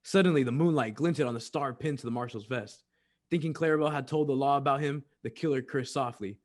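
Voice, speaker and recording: A man's voice: mid-range voice, brisk, natural voice. A man narrating an old-time western radio drama in a dramatic, scripted storytelling style.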